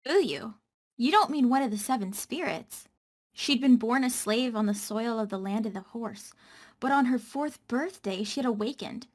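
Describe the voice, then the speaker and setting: An earnest female keeper of fantasy legends read by a woman, a little high in pitch. She explains lore in an expository way, as in an amateur script read. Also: moderate pace, lightly acted. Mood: explaining, earnest.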